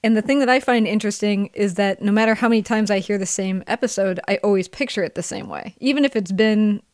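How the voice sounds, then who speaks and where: A woman's voice: medium pitch, quick pace, darkish tone, no echo. Woman podcast host, close dry sound. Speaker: podcast host interviewing a friend. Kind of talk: conversational podcast interview.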